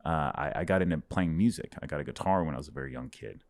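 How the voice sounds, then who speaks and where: Very deep-voiced man telling his story. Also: fast talker, creaky. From Dallas, Texas. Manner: reflective storyteller, animated hand gestures. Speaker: stand-up comedian guest. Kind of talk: casual interview chat. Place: home studio with bookshelves. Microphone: boom-mounted studio mic with headphones.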